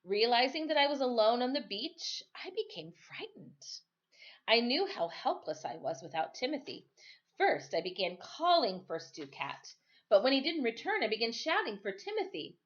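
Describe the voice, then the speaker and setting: A woman reading a storybook aloud like a teacher, narrating expressively and pausing to explain. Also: a little high, big pitch swings, dull audio.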